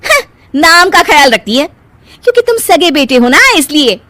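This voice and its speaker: A woman's voice: sits high, animated, moderate pace, no room sound, voice pushed up. A woman voicing a spiteful, demanding young daughter-in-law in a cartoon family drama, bossy and whining. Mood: demanding, bitter.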